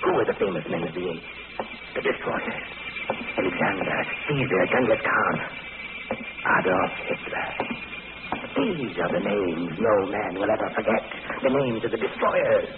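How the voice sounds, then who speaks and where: Man acting a brooding, obsessive little man, swooping pitch. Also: animated, moderate pace, lightly acted. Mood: musing, philosophic. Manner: musing, theatrical monologue with swelling intensity. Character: gentle-seeming, graying little man turned obsessive would-be destroyer. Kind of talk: 1940s radio acting. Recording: tinny old radio recording, heavy noise.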